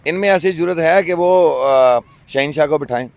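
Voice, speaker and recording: A middle-aged club cricketer from Pakistan giving his views outdoors in a casual interview, earnest and often pausing on fillers. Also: fairly high pitch, gravelly, no echo. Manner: earnest, many hesitation fillers. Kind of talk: opinionated casual talk. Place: outdoors by a park road. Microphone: handheld mic.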